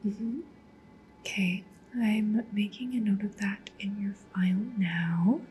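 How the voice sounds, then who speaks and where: A woman playing a polite, discreet cyberpunk matchmaker in an ASMR roleplay, speaking gently and professionally in a breathy whisper. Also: mid-range voice, steady tone, takes it slowly, slight background noise, natural voice, poor audio. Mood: calm, businesslike. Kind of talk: soft-spoken ASMR roleplay.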